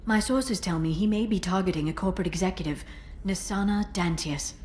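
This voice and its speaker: A woman voicing a composed alien information broker in a video game cutscene, speaking softly and in a measured way. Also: lowish voice, close to an everyday voice. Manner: soft-spoken, measured, quiet intensity.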